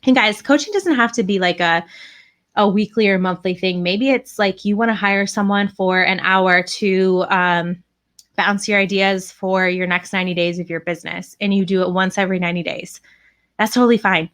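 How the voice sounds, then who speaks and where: A young woman hosting a casual live podcast, chatty and encouraging, with lots of fillers. Her voice is tired and starting to go hoarse. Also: mid-range voice, fairly even intonation, moderate pace, a bit bright. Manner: chatty and encouraging, with frequent fillers and asides to the audience. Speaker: podcast host and direct sales coach.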